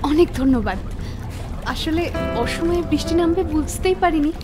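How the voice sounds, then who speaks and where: A woman playing a polite, mysterious young office worker in dialogue for an animated horror story. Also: sits high, moderate pace, lightly acted. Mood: polite, reserved. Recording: music bed under voice.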